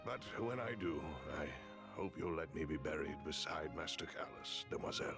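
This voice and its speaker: A man playing a calm, loyal retainer in an anime-style game, formal and gentle with a wry touch. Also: rumbling low, moderate pace. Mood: sincere. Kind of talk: anime-style video game drama acting. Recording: music behind.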